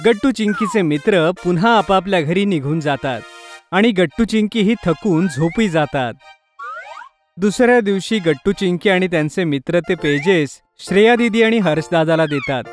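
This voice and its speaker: A woman's voice: lowish voice, lively intonation, moderate pace. Woman narrating a children's story. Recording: music behind the voice.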